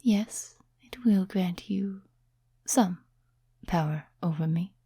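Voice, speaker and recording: A woman voicing a sly, seductive demon in a soft-spoken ASMR roleplay, mostly whispering in a breathy, teasing, condescending way. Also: lowish voice, dry recording, clean studio sound, exaggerated voice. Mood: coaxing, persuasive. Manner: slow, deliberate, teasing, condescending to mortals. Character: sly, seductive female demon tempting a mortal summoner.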